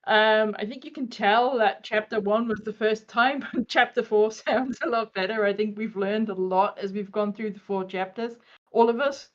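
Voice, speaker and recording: A woman's voice: mid-range voice, steady tone, normal speed. A woman guest voice actor chatting casually on a livestream over an online voice call. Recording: dull lo-fi voice call.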